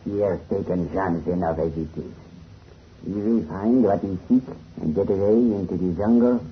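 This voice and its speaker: A man's voice: sits low, moderate pace, lightly acted. A man playing a stern, cautioning expedition companion with formal speech in an old radio drama. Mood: stern warning. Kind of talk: 1930s radio drama acting. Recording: muffled, faintly hissy radio recording.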